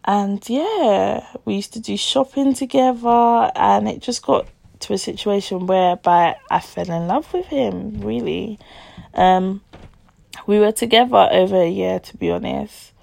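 A woman's voice: medium pitch, measured pace, a little mellow, no echo. A young woman, telling a personal story casually to her listeners on a podcast. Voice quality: gravelly vocal fry. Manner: chatty, confiding, addresses listeners as guys.

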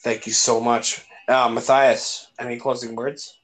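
A man's voice: mid-range voice, level tone, unhurried, fairly bright tone, soft background music, poor audio. A man hosting a podcast, talking in a loose, friendly way and swearing often as he thanks his guests. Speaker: podcast host. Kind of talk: casual podcast chat.

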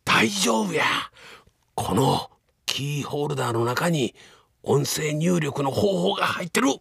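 A gravelly, urgent Kansai survivor. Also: male voice, a little high, lively intonation, measured pace, a bit bright, dry recording, studio quality, full character voice. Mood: earnest, hopeful. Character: panicky, urgent male researcher fleeing zombies. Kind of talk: comedic radio drama acting.

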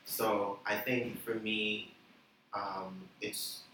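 A young man from the Bahamas, chatting as a guest in an interview, full of fillers and quick laughs. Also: on the low side, little pitch movement, pushed and bright. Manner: chatty, laughing, many "like" and "you know" fillers. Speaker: musician guest. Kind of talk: casual interview chat. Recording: echoey room, distant mic, light noise.

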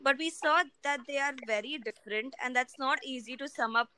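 A young woman from India co-hosting a casual discussion, speaking in an earnest, measured way. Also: high voice, sharp tone, a little hiss or hum. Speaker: co-host.

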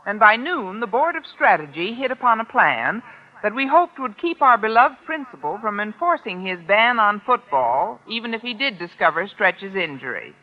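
Wry American schoolteacher, muffled vintage radio. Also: female voice, mid-range voice, very animated, moderate pace. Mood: dry. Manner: dry, sardonic, articulate. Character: wry, wisecracking high school English teacher. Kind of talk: 1940s-50s American radio comedy acting and narration. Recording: muffled old-time radio recording.

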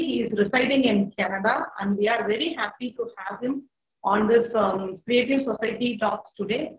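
Woman, co-host, formal, hesitant, filler-heavy, echoey, lo-fi. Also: medium pitch. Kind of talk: hosting an online talk show. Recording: echoey, dull lo-fi sound.

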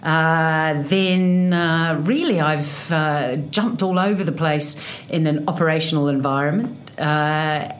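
A woman in her fifties with a New Zealand accent, a hotel executive answering interview questions with frequent fillers and pauses. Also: low voice, slight background noise. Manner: hesitant, many fillers.

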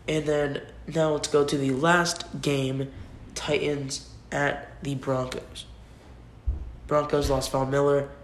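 A man's voice: medium pitch, level tone, slow. Young male solo podcast host, sick with a cold, casual and rambling, roomy echo on the recording. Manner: rambling, restarts often, informal. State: has a cold. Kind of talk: casual solo sports predictions. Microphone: computer mic. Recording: echoey room with faint noise.

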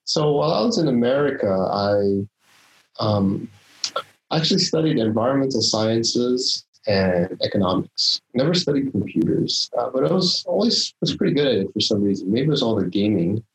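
A man's voice: mid-range voice, expressive, moderate pace, poor audio. Reflective man in his fifties. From Philippines. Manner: reflective, thinks aloud while telling his story. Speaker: guest, startup founder. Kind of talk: casual interview storytelling.

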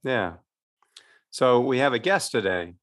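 A man's voice: medium pitch, animated, measured pace, fairly bright tone. A man co-hosting a podcast as a parish priest, talking casually and rambling with restarts. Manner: rambling, many restarts and fillers.

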